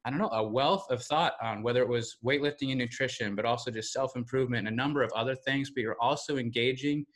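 Male American host, mid pitch, quick chatty delivery, many fillers. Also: a bit bright. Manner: rambling, many fillers, fast. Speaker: podcast host. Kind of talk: casual interview chat.

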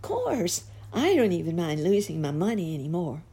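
A woman's voice: lowish voice, big pitch swings, mellow, slightly rough, heavily acted. A woman voicing an emotional little girl in a storybook tale. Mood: delighted. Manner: halting, sobbing stammer when upset. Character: little girl, tearful, doubtful, then delighted.

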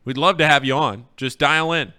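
A man's voice: on the high side, brisk, bright, forceful tone, smooth. A man hosting a radio show in a casual, bantering sports-talk style.

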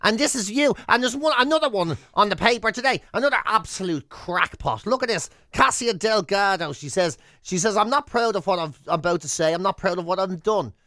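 An Irish man with a high-pitched voice banters as a radio co-host. He spins exaggerated comic stories and teases as he goes, recorded close and dry. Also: expressive, fairly quick, few pauses, bright, forceful tone, no echo. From Ireland. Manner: exaggerated comic storytelling, teasing.

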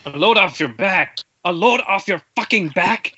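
A man's voice: sits high, lively intonation, normal speed, sharp tone, heavily acted. A man playing a fed-up, foul-mouthed film producer in an amateur table read over an internet call. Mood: exasperated, angry. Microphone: internet video call. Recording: dull, noisy internet call, clicks.

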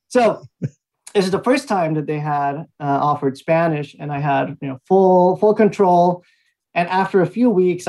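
A man in his thirties from Mexico, speaking as an interview guest, telling his story in a relaxed way with frequent fillers and small laughs. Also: fairly high pitch, low-quality recording. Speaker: guest, language institute founder. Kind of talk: conversational interview answers.

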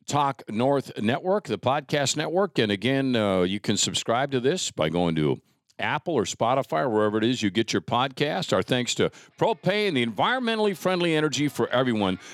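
A man hosting a casual interview podcast in a chatty, folksy, somewhat halting way. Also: mid-range voice, big pitch swings, no room sound, clean studio sound. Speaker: podcast host.

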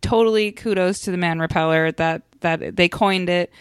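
A woman's voice: fairly low pitch, normal speed, smooth, no room sound, studio quality. A woman co-hosting a casual podcast. She talks playfully, pokes fun at herself, and peppers her speech with "like". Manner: playful, self-deprecating, chatty with many "like"s. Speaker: podcast co-host.

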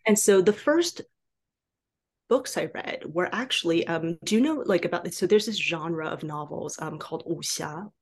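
A woman with a fairly low voice, from Taiwan and a native Mandarin speaker, chats as an interview guest. She thinks aloud as she goes, stopping and restarting, with plenty of casual filler words. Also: lively intonation, slightly rough. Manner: halting, thinking aloud, frequent "like" and "you know". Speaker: guest novelist. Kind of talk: conversational podcast interview.

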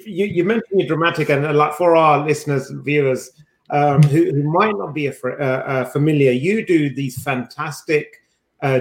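A man co-hosting a podcast in casual, somewhat halting chat, recorded in an echoey room. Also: mid-range voice. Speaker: podcast co-host.

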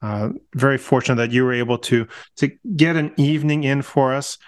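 A middle-aged man hosting a casual podcast, friendly and warm, often restarting his words and laughing gently. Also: medium pitch, fairly even intonation, a little fast. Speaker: podcast host.

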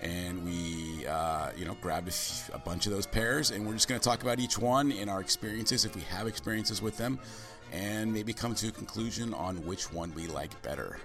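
A man hosting a casual podcast chat, hoarse from a cold with a scratchy voice, talking eagerly with plenty of fillers. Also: sits low, moderate pace, over music. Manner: chatty, enthusiastic, many fillers. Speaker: podcast host.

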